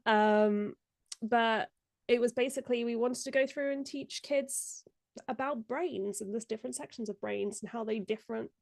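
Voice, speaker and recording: A woman's voice: on the high side, moderate pace, creaky, darkish tone, no echo. A young woman, a video editor guesting on a live stream, chatting casually and laughing often while sounding stuffed up from a cold. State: congested, stuffed up.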